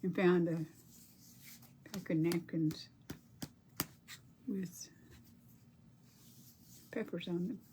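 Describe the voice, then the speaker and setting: A woman's voice: fairly low pitch, fairly even intonation, very slow, pauses often, background noise. An older woman, chatting on a crafting livestream, reading viewer comments and murmuring to herself as she paints. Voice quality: soft-spoken. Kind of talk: casual livestream chat while painting.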